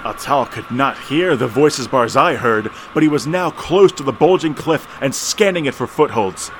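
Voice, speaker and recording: A man narrating an eerie old tale as a solemn storyteller, in a measured, theatrical delivery. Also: medium pitch, expressive, a little hiss or hum, close to an everyday voice. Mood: solemn, ominous. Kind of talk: scripted horror story narration.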